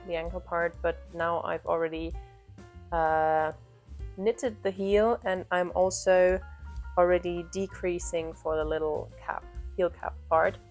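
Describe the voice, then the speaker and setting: Lady chatting about her knitting, slow and soft, a bit apologetic, low-ish voice. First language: German. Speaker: knitting podcast host. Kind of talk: casual chat to camera.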